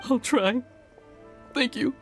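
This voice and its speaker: A woman playing a resigned, downcast young character in a video game, speaking haltingly. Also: a little high. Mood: dejected. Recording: clear game music behind.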